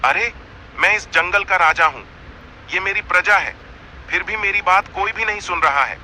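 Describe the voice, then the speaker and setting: Man voicing a sharp-toned cartoon lion king. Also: sits high, normal speed, slight background noise, poor audio. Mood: despairing. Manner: imploring, imperious. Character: proud lion king of the jungle, needy, threatening.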